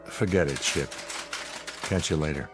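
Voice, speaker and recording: An American actor playing an irritable, sarcastic spaceship commander in a sci-fi radio drama, wry and needling. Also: male voice, extremely low pitch, unhurried, over music. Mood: weary irritation.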